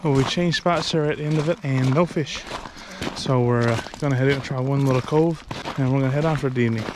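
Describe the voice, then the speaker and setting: A man's voice: medium pitch, fast talker. A man in his thirties with a Newfoundland accent, chatting easily as he hosts a fishing vlog. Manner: easygoing, chatty, excitable. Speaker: fishing vlogger host. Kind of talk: casual outdoor vlog chat. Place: outdoors by a lake, later in a vehicle at night. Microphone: action camera. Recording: outdoor camera audio with loud wind and water noise.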